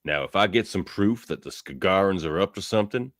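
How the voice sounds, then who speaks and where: A man playing a gruff, principled frontier town sheriff in amateur radio theatre, firm and plain-spoken. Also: low-pitched, animated, a little fast, fairly bright tone, no room sound, studio quality. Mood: stern.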